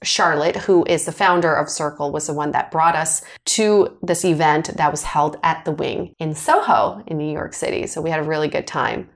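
A woman's voice: low-pitched, normal speed, vocal fry, few pauses. Upbeat woman podcast co-host. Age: 30s. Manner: upbeat, playful, laughs often. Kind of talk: casual podcast chat.

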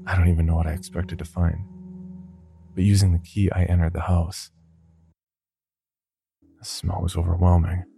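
A man's voice: deep voice, level tone, normal speed, vocal fry, pauses often. A man narrating a scripted first-person horror story for a podcast.

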